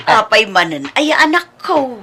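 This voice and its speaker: An actress playing an exasperated, scolding mother of a grown daughter in an Ilocano radio comedy drama, husky and emphatic. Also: on the high side, sing-song, unhurried, sharp tone, full character voice.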